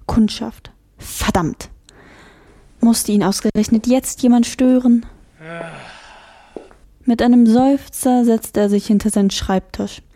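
Mellow-voiced woman narrating a storybook. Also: on the high side, fairly even intonation, slow pace, pauses often. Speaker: audiobook narrator.

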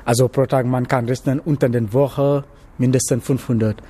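A young man from Senegal with a Senegalese accent, answering casually in halting non-native German in a noisy location interview. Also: mid-range voice, fairly even intonation, dark tone, slightly rough. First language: not German, learned it as an adult. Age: young adult. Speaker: interviewee, trainee cook.

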